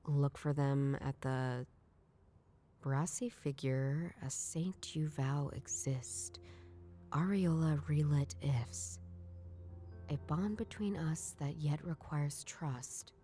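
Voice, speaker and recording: A woman's voice: sits low, slow pace, dark tone, soft background music. An actress voicing a wry young woman detective in a video game, musing dryly in scripted inner monologue. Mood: pensive.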